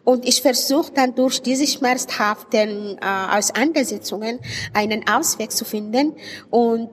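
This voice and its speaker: Higher-voiced Arabic-accented woman in a reverberant hall. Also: unhurried. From Sudan. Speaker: interviewed writer and poet. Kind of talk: reflective interview answers. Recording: reverberant hall, clear background noise.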